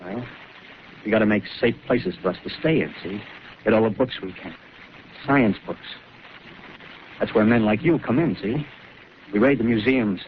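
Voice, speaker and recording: An actor playing a rough, scheming soldier who talks in rambling, feverish working-class slang, in a 1930s live radio drama over a tinny broadcast with hiss. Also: male voice, on the low side, moderate pace, long pauses, natural voice.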